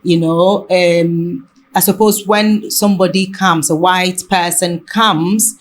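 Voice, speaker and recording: A woman talking reflectively in conversation, haltingly and often rephrasing mid-sentence. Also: fairly low pitch, fairly even intonation, slight background noise. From Ghana.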